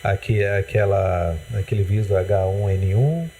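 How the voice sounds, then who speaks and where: A man's voice: deep voice, measured pace, dark tone, slight background noise. A man with a Brazilian accent hosting a TV health interview, asking rambling, hesitant questions full of "né". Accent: Brazilian Portuguese. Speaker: TV host. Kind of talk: interviewing a guest on a community TV health segment. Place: TV studio.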